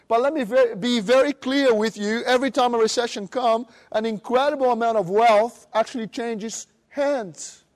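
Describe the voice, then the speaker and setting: A middle-aged man from Brazil with a Brazilian Portuguese accent, giving an animated seminar talk and acting out other people's voices. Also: very high-pitched. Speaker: motivational speaker. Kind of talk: live seminar presentation.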